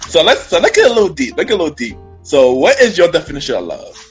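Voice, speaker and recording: Man hosting with lively intonation. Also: on the high side, fast, breathy edge, soft background music. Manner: relaxed, brief back-channel replies, laughs along. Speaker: podcast host. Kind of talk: casual podcast interview.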